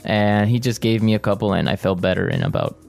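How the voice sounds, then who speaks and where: A man co-hosting an English lesson podcast, chatting and repeating phrases slowly and clearly for learners. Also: on the low side, animated, darkish tone, faint music behind. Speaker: podcast co-host.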